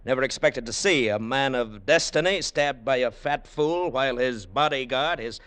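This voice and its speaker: A man's voice: mid-range voice, moderate pace, fairly bright tone, clean tone, close to an everyday voice. Guy doing a bold hard-boiled hero, middle range voice, sure of himself, old time radio adventure. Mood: taunting. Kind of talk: 1940s-50s radio drama acting. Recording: vintage radio broadcast recording.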